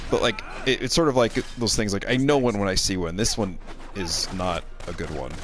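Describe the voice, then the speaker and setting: A man's voice: lowish voice, a little fast, a bit bright, a little hiss or hum. A man in his thirties casually rambling and thinking aloud as he commentates on a video game stream. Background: game music.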